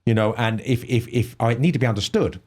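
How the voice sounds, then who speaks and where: Fast-talking man with a softened Cockney accent. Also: medium pitch. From England. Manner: emphatic, repeats words when starting a thought. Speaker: podcast guest, writer. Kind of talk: casual conversation.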